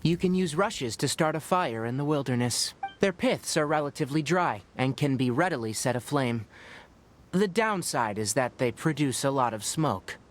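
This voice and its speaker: A man voicing a patient, knowledgeable young ranger in a video game, calm and explanatory with a mildly teasing edge. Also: mid-range voice, moderate pace, a little hiss or hum, lightly acted. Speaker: forest ranger and guide. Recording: game audio with faint music behind. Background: faint game music.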